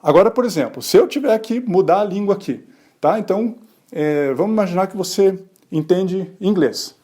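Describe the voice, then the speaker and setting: Mellow-voiced man explaining science casually. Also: sits high, expressive, moderate pace. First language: Portuguese. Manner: conversational, rhetorical questions answered himself; tag questions and fillers. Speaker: podcast host explaining bilingualism.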